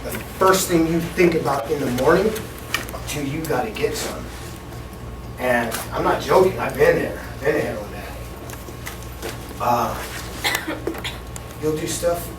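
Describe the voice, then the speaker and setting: A man's voice: a little high, a little slow, pauses often. A middle-aged man talking frankly and bluntly to students at a school assembly. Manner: candid, blunt, plain-spoken. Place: school hall. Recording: echoey hall from far off, noisy room.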